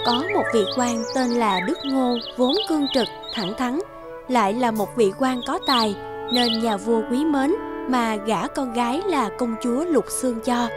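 A woman's voice: on the high side, a little slow. A woman narrator reading a scripted storybook folk tale. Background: background music.

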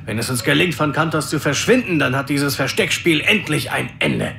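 A man's voice: fairly high pitch, animated, moderate pace, creaky, pushed and bright, heavily acted. A man playing a stern father and leader of fugitives, explaining things with authority. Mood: firm. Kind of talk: audio drama acting.

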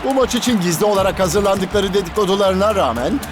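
A man voicing an enthusiastic football co-commentator in a cartoon, emphatic and reactive, with loud music behind him. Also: high-pitched, fast talker, close to an everyday voice. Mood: eager.

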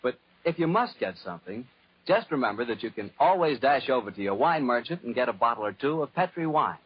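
A man's voice: medium pitch, slightly rough. An American man working as a 1940s radio announcer and host, delivering folksy scripted patter. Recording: muffled vintage 1940s radio broadcast.

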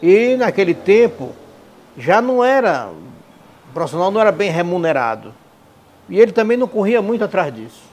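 A man's voice: high voice, big pitch swings, normal speed, a little mellow. A man, recalling the past in an interview and repeating phrases for emphasis. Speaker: radio broadcaster and columnist being interviewed. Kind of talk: reminiscing interview talk. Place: small indoor office. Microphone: lapel mic.

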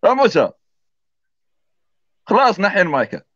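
A man joining a live stream call, trading quick jokes. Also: sits high, big pitch swings, fairly bright tone, dry recording. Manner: very fast, joking banter. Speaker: participant. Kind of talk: casual call-in chat. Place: outdoors in the cold. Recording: dry, dull lo-fi call audio.

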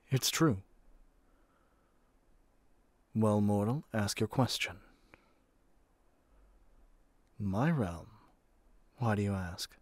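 A man's voice: fairly low pitch, a little mellow, dry recording. Man acting out a haughty, impatient god of magic. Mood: casual, proud. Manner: imperious, theatrical, addresses listener as mortal. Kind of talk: ASMR audio roleplay.

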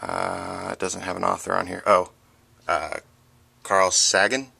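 A man's voice: low voice, level tone, a little slow, creaky, bright, forceful tone, breathy edge. A man chatting casually and hesitantly as he presents a collection item by item on a home video. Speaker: book vlogger. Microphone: handheld camera, close behind it. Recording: noisy home camera audio with handling clicks.

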